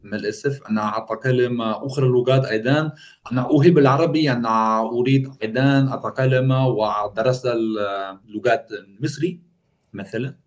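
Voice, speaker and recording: Man, mid-pitched voice, playful, casual questions, video chat. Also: rapid-fire, lo-fi sound. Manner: playful, testing the other with questions. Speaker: polyglot video host.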